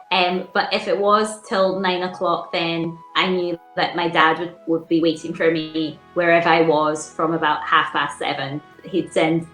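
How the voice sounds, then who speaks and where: A woman guest telling childhood stories in a measured, reflective way, with frequent ums. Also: sits low, a bit bright, echoey room, rough recording. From England. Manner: measured, reflective storytelling with fillers. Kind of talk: casual chat.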